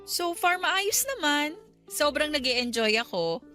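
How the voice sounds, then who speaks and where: An actress playing a candid, cautious young schoolteacher being courted in a radio drama, chatting in a Filipino accent and mixing in English. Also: female voice, sits high, expressive, takes it slowly, bright, forceful tone, faint music behind, exaggerated voice. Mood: happy, doubtful. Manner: conversational, mixing Tagalog and English.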